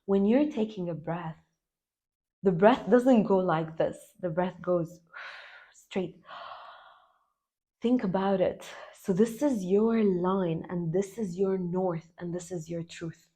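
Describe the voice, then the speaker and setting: A woman in her thirties from Lebanon, raised in the UAE, talking to camera as a self-love coach in an earnest, slow and emphatic way. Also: on the low side, warm tone. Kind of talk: spiritual vlog talk to camera.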